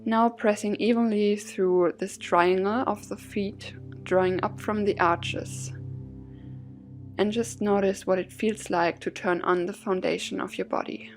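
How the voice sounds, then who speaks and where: Slow, slightly creaky female yoga guide. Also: mid-range voice, steady tone, soft background music. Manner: soothing, gently measured phrasing with breath cues. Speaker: yoga and meditation instructor. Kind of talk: guided yoga and gratitude meditation class, calm instruction.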